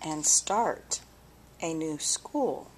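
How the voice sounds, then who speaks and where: A woman reading a children's story aloud with deliberate, expressive phrasing and dramatic pauses. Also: low-pitched, a little hiss or hum, poor audio. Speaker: storybook reader.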